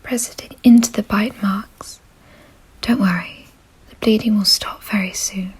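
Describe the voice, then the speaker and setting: Woman acting as kindly vampire noblewoman, hushed airy whisper, slow pace, reassuring, doting, refined, ASMR roleplay. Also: mid-range voice, fairly even intonation. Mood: calm, reassuring. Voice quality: soft, breathy whisper. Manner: gentle, reassuring, genteel, unhurried. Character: kind, doting aristocratic female vampire owner.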